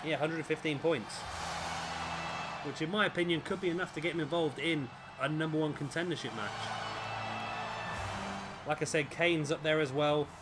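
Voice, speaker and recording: A man with a raspy, fading voice, commentating on a wrestling video game as the show's host. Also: fairly high pitch, normal speed, long pauses, music in the background. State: hoarse, voice fading. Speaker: host and commentator of a gaming series. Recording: clearly noisy background.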